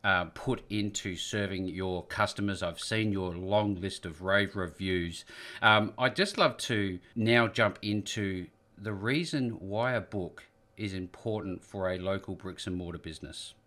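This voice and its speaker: A man hosting a business interview show over a call, upbeat but pausing with frequent fillers as he sets up questions. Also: low voice, sharp tone. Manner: upbeat, with many "uh" fillers between phrases. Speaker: podcast host. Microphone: remote call.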